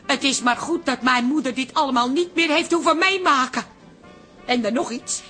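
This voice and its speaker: An actress playing a bossy, suspicious grown daughter in a Dutch radio comedy, scolding and sharp-tongued. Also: female voice, high-pitched, normal speed, soft background music. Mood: indignant, scornful. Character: bossy, suspicious adult daughter doting on her cat.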